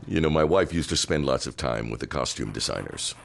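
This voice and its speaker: An actor playing a courteous, wistful widowed doctor in his sixties, with a gravelly voice, in an audio drama. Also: a bass voice, animated, fairly quick, exaggerated voice. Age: 60s. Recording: faint background noise.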